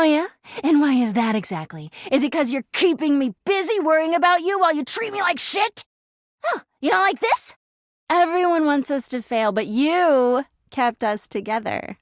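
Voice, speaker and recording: A woman's voice: high-pitched, lively intonation, moderate pace, no room sound, clean studio sound. A woman voicing a clingy, jealous, melodramatic girlfriend character in a video game, with a whiny, volatile manner. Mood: bitter, sarcastic. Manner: dramatic, whiny, emotionally volatile. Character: clingy, jealous, melodramatic female living household object, toxic girlfriend.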